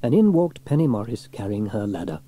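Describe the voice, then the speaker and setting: A man narrating a scripted children's story in an expressive storytelling manner. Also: fairly low pitch, fairly quick. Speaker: audiobook narrator.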